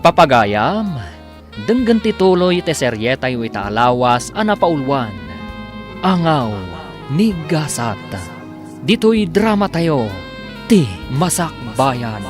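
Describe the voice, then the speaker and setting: Male radio drama announcer, Filipino accent, slightly high pitch, dramatic intro delivery, clear music bed. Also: very animated, slow pace.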